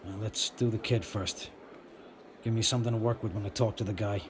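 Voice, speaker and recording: A man playing a weary, patient detective in a radio play, with a husky voice. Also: on the low side, fairly even intonation, brisk, a little hiss or hum, lightly acted. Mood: weary, businesslike. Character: weary male detective and father, patient questioner. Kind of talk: radio drama dialogue.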